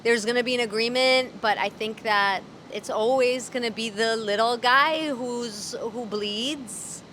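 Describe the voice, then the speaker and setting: A woman voice actor and comedian, guest in a casual street interview, talking candidly with dark humor and frequent laughter. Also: a little high, measured pace, a little hiss or hum. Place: city street outdoors.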